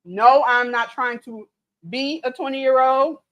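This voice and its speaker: A chatty, easygoing woman in her late thirties with a drawl, her voice pitched a little high. She talks loosely and laughs often, a little tipsy, like she's reading comments off her own livestream. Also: steady tone, slow pace, a bit bright, highs cut off. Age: late 30s. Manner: chatty, laughing, reads viewer comments aloud. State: tipsy from mimosas. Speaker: cooking livestream host. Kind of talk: casual livestream chat.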